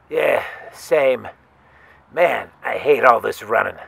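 Gravelly, grumbling henchman, played by a man. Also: medium pitch, animated, slow, a bit bright, full character voice. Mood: weary grumbling. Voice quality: gravelly, husky. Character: huge grumbling mutant henchman, dim and put-upon. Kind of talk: audio drama acting.

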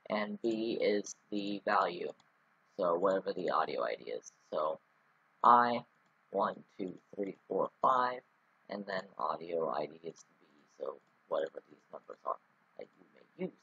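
A man's voice: low voice, expressive, a little slow, bright, forceful tone, husky, dry recording, muffled recording. A man talking through a casual screen-recorded coding tutorial, rambling with many ums and restarts. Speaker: scripting tutorial host.

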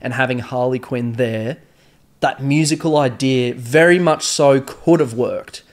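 A young man with a stuffy, nasal head cold trades joking, self-mocking banter as co-host of a podcast. His voice sits at an ordinary middle pitch, and his lines often trail off. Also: a little slow. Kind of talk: casual banter.

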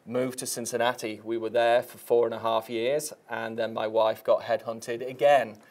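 A middle-aged man with a Mancunian accent speaks as a studio interview guest. His mid-pitched voice stays flat and even, and his telling carries a dry, self-deprecating humor. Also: moderate pace. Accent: Mancunian English. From Manchester, England. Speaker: guest, marketing manager. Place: TV studio set.

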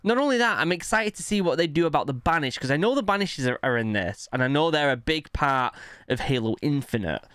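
A man's voice: fairly high pitch, lively intonation, brisk, few pauses, a bit bright, smooth, no echo. A young man hosting a reaction video, chatting informally and eagerly into a close desk microphone. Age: young adult. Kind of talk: casual chat and reactions. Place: indoor home studio. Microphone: close desk microphone on arm.

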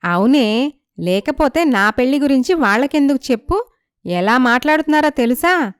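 A woman playing a proud, assertive young woman from a poor family in an animated moral story. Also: high voice, moderate pace, clean tone, dry recording, clean studio sound. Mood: indignant.

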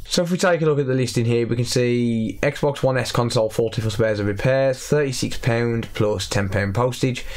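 A young man with a slightly raspy voice from recent illness, chatting casually as he repairs a console in his workshop. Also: mid-range voice, moderate pace, hardly any pauses, darkish tone. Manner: chatty, self-deprecating, thinks aloud while working. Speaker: electronics repair YouTuber. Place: cluttered repair workshop, indoors.

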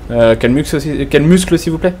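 A man's voice: on the high side, quick pace, dark tone, a little hiss or hum. A man chatting casually while streaming a game, with repetitive, slangy phrasing. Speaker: live streamer. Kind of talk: casual gaming chat and roleplay.

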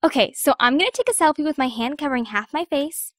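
A woman's voice: sits high, expressive, quick pace, fairly bright tone, no echo, clean studio sound. A woman playing a bossy, vain, manipulative teenage girl giving lessons in an audio drama.

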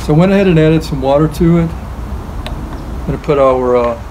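Man, middle-aged, slightly high voice, relaxed, lots of ums, outdoor driveway, running water noise. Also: normal speed. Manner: relaxed, thinks aloud with many ums and uhs. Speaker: DIY car-washing vlogger. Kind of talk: casual how-to demonstration. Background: hose spray and outdoor ambience.

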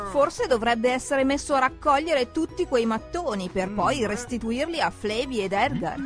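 A woman narrating a children's cartoon from a script, expressively, speaking straight to the characters. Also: high-pitched. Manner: expressive, addresses characters directly.

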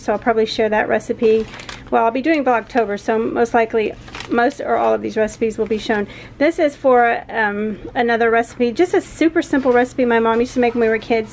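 A woman's voice: on the high side, a little hiss or hum. A woman in her forties with a Southern American accent, chatting casually to the camera from her kitchen, rambling with frequent little laughs. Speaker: home vlogger. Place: home kitchen and backyard. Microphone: handheld camera close up.

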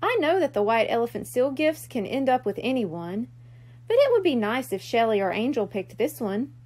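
A conscientious big-sister kitten-mermaid girl from a storybook, played by a woman reader. She sounds earnest and a touch worried, in a high, animated voice. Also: moderate pace. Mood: kind, earnest.

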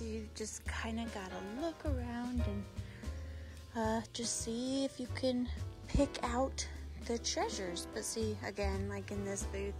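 A woman, chatting casually and thinking aloud as she films a shop-along on a handheld phone. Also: a little high. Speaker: vlogger and antiques reseller. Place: large indoor antique mall. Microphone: handheld phone held near her. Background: loud store music.

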